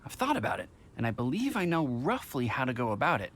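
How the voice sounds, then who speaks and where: A man's voice: mid-range voice, wildly swooping pitch, fast, fairly bright tone, a little hiss or hum. A man playing a genial, practical middle-aged innkeeper in a video game, chatty, thoughtful and polite. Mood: genial, helpful. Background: music.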